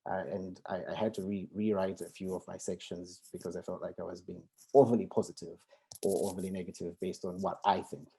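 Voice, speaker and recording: Guy on an academic panel, really dark low voice, lots of um and you know. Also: steady tone, brisk, creaky, breathy edge. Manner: hesitant, many fillers and restarts. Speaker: panel speaker, researcher.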